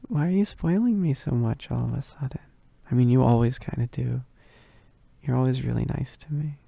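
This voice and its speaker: Someone playing a husky, bashful werewolf roommate. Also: medium pitch, lively intonation, no room sound, heavily acted. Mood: bashful, affectionate. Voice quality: soft, breathy, husky. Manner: bashful, halting, giggly, often dropping to whispers. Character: shy, needy, affectionate young werewolf roommate. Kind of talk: roleplay ASMR, intimate one-sided dialogue.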